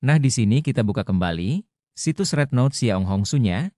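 A man delivers a tutorial voice-over in a dark, soft-edged tone. He explains things methodically, one step at a time. The sound is dry and close to the mic. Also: medium pitch, lively intonation, moderate pace, no room sound. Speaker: tutorial host. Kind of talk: instructional screen-recording narration.

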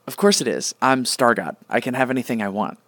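A pompous celestial god played by a man in a comedy radio play, petulant and dismissive. His mid-pitched voice sounds close and dry. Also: brisk, fairly bright tone, no echo, natural voice. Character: self-important, petulant male celestial god. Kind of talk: comic radio play acting.

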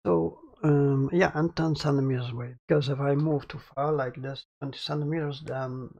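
Man with a crackly, gravelly voice. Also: medium pitch, fairly even intonation, normal speed, a little mellow, highs cut off. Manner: hesitant, many fillers and restarts. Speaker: audio tutorial host. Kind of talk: casual screen-recorded tutorial. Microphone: close studio mic about ten centimeters away.

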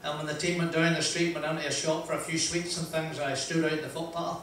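A man's voice: on the high side, little pitch movement, fairly bright tone, recorded from a distance, a little hiss or hum. Northern Irish man, echoey hall. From Northern Ireland. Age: older. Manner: chatty, self-deprecating, frequent "uh" pauses and laughs. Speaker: motivational speaker. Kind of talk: anecdotal motivational talk. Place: large wood-panelled function room, audience present. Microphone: handheld mic.

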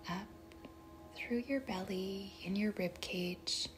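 A woman leading a guided meditation in a soft, breathy, whispery voice, speaking gently and deliberately with long pauses between short phrases. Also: mid-range voice, music in the background. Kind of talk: guided meditation, slow and soothing narration.

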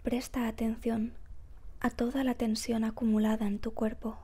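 A woman guiding a meditation in a soft, breathy, whispery voice, calm and gentle, with measured phrases and long pauses. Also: on the high side. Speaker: meditation guide. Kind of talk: slow guided meditation narration.

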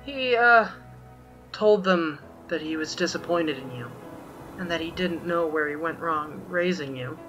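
Woman voicing a bright, earnest young cartoon pony. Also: deep voice, a little slow, faint music behind, low-quality recording. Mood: earnest, hesitant. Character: earnest, defensive young pony magician, the sibling. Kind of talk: cartoon fan comic dub.

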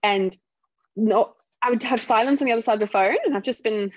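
A woman from South Africa with a softened South African accent, a guest telling stories in a casual interview and acting out what other people say. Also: on the high side, fairly quick, dry recording, highs cut off. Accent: South African, softened by years in the UK. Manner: animated storytelling, acts out other people's remarks. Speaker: guest speaker.

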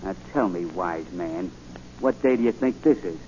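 Brash, slangy tough-guy drifter, gruff and argumentative, 1940s radio play, dull hissy recording. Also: low voice, animated, normal speed, mellow. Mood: wry, stubborn. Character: brash streetwise male drifter with uncanny foresight.

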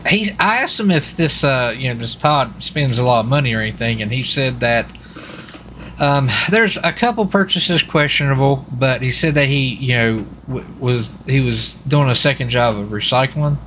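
A man playing a police marshal in an online roleplay game, asking casual questions in a halting, rambling, informal way. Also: mid-range voice, expressive, a bit bright, a little hiss or hum.

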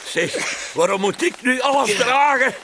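A man's voice: extremely high pitch, expressive, unhurried, noisy background. A man voicing a miserable freeloading stowaway from a comic book, moaning and stammering. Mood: self-pitying. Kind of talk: comic book read aloud in character.

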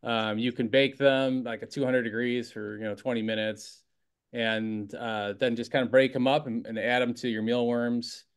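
A middle-aged man who gives bird feeding advice on a video call, measured and hesitant, with many fillers. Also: medium pitch, flat delivery, clear, resonant tone. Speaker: bird seed store expert. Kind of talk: casual panel chat.

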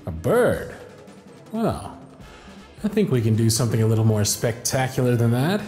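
Man as a flamboyant stage magician, lively and theatrical. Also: mid-range voice, moderate pace, roomy sound, faint music behind, natural voice. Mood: grand, showy. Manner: grand, theatrical showman patter. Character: flamboyant, confident male stage magician, playful charmer. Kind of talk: scripted ASMR audio roleplay.

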